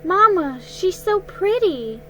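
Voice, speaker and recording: An eager young girl from a storybook, voiced by a woman reader, polite and grateful. Her voice is lifted high and takes its time, over faint background noise. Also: slow pace, full character voice, low-quality recording. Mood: excited, delighted. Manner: bright, polite, excited.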